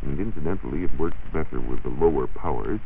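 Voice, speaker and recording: A very low-voiced American man explains science in a measured, precise manner, with lively swings in pitch. His voice has a husky edge. It comes through a narrow, phone-like 1940s radio recording. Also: slight background noise. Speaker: science reporter answering listener questions. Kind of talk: 1940s radio science talk, partly scripted.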